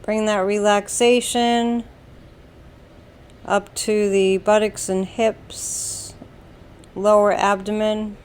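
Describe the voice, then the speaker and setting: A woman with an American accent leads a guided meditation, giving calm, soothing instructions in a deliberate way with long pauses. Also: mid-range voice, a little hiss or hum. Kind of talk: slow guided meditation narration.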